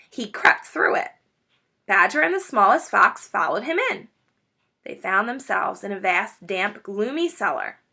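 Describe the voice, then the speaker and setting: A woman reading a children's book aloud as an expressive storyteller. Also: medium pitch. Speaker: story reader.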